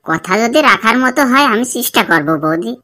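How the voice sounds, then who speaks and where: A woman's voice: on the high side, animated, a little fast, pushed and bright. A woman voicing a hesitant, placating young village man in a comedy cartoon. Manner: questioning, placating.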